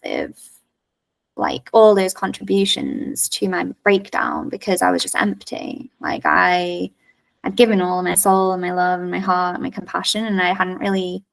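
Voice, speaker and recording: A woman's voice: fairly low pitch, animated, normal speed, gravelly, recorded from a distance, rough recording. A woman, a coach and yoga teacher, speaking as a podcast guest in a candid, frank way. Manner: candid, frank, laughs easily. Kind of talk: casual podcast conversation.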